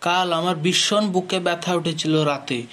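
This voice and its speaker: A man's voice: high-pitched, steady tone, normal speed, pushed and bright. A man voicing a cheeky schoolboy in a cartoon comedy. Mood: defensive. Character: cheeky schoolboy making excuses.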